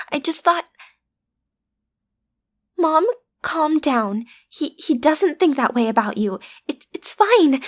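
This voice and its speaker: A woman playing a cute, easily flustered teenage villain's daughter in an audio roleplay, stammering earnestly as she tries to seem intimidating. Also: high voice. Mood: flustered, pleading.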